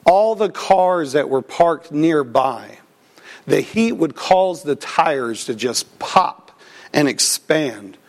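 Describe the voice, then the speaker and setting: A man preaching at an unhurried, deliberate pace, his voice slightly froggy as he recovers from a cold. His pitch sits a little high for a man. He reads scripture, prays, and tells stories from the pulpit. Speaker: pastor. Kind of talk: sermon with prayer and personal story.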